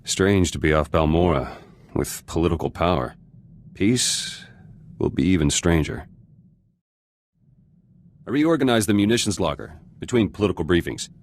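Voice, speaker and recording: A very deep-voiced, gruff resistance fighter from a video game. He is guarded and cynical, biting off terse, blunt phrases. A faint layer of ambient noise sits behind him. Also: big pitch swings, measured pace, pauses often, full character voice. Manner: clipped, terse fragments, blunt. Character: gruff, guarded revolutionary fighter turned politician, curt and cynical. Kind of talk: video game character dialogue.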